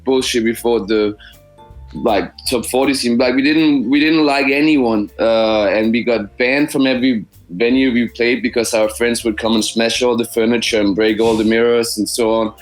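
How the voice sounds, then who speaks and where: Man, english, halting restarts, mid pitch, faint music under. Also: fairly even intonation, rough recording. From Denmark. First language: Danish. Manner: halting, many restarts and repeats. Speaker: musician guest. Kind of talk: casual interview answers.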